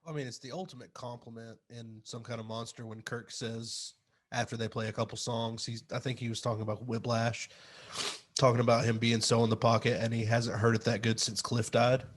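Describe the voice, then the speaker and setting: A man's voice: mid-range voice, level tone, a little fast. A man co-hosting a podcast, chatting casually with some swearing. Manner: casual, profane. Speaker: podcast host.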